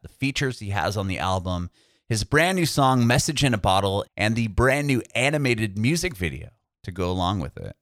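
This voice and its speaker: A man hosts a podcast, his voice sitting on the low side and sounding dry and close. He reacts quickly and keeps an upbeat, laid-back tone. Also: fairly bright tone, dry recording. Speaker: podcast host. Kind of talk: podcast intro and interview. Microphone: video call.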